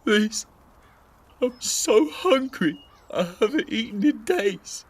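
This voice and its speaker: Woman acting a high, husky, desperate young thug. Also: lively intonation, slow, exaggerated voice. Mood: pleading. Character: desperate, starving young male thug, pleading and defensive. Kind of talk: anime audio drama acting.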